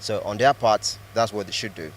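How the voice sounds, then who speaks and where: A man from Nigeria answering a street interview, thoughtful and hesitant, pausing with fillers. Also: on the low side, slight background noise.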